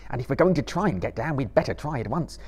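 A man's voice: medium pitch, expressive, fast talker, darkish tone, slightly rough, pitched above the performer's own voice. A man voicing an earnest, determined young male hobbit who urges his companion on in a lifted voice. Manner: urgent, raised and pleading.

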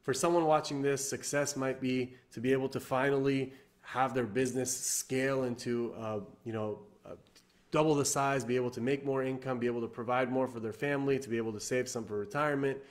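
A man's voice: medium pitch, steady tone, a little fast. A man in his thirties, from Iran, giving an earnest motivational talk to camera from his living room, repeating himself and restarting often. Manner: earnest, repetitive, many fillers and restarts. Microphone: webcam or laptop camera at desk.

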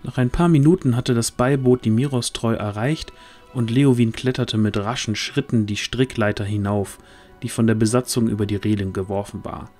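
A man's voice: medium pitch, moderate pace, a little mellow, soft background music. A man reading scripted narration for a fantasy audio drama.

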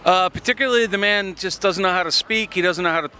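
A man's voice: high voice, quick pace, bright, forceful tone. A man giving blunt opinions in a casual street interview. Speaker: passerby interviewee. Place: busy city street at night. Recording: faint street noise.

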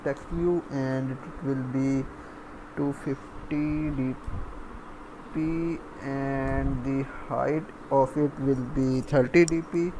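A man giving an unscripted coding tutorial as an instructor, talking haltingly as he thinks aloud while typing. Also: mid-range voice, steady tone. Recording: clear background noise, room ambience.

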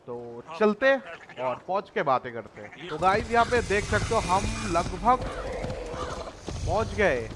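A man's voice: sits high, expressive, very fast, a crowd in the background. A young man giving casual, chatty livestream commentary as he plays a game. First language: Hindi. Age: young adult. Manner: chatty, informal. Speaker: gaming streamer.

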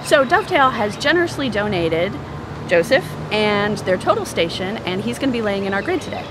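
Lively woman presenting outdoors. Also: mid-range voice, brisk, background noise. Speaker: host interviewer at an archaeological dig. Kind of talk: presenting to camera, casual interview. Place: outdoors on a grassy farm.

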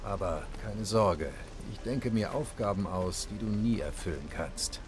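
A man's voice: fairly low pitch, expressive, measured pace, noisy background. An actor playing a jaded, sardonic, cruel nobleman bandit in video game dialogue, with a wry, dismissive drawl. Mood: mocking. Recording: rain and outdoor ambience behind.

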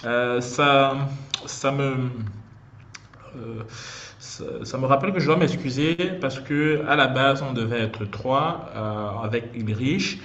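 A young man from Cameroon, hosting a casual livestream and talking in a halting, chatty way as he calls out to viewers over a headset. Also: mid-range voice, a bit bright. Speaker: host of a student livestream. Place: small indoor room. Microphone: headset on a video call. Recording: muffled video-call audio, faint hiss.

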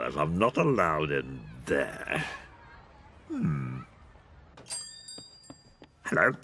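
Bumbling cartoon man, childish, fussy, throaty mumble, halting, extremely animated pitch, very drawn-out delivery. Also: husky, exaggerated voice. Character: bumbling, childish, self-satisfied middle-aged man. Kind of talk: British animated comedy acting. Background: cartoon background music.

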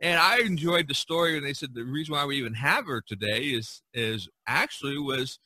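A man's voice: mid-range voice, lively intonation, brisk, sharp tone, dry recording. A man talking casually as a podcast host, telling stories in a rambling, discursive way. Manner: rambling, storytelling. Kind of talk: casual discussion of art history.

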